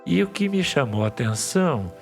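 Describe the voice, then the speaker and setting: A man's voice: medium pitch, very animated, normal speed, vocal fry, soft background music. A man, a psychiatry professor, patiently explaining ideas as a podcast guest, often restarting his phrases. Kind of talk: podcast interview.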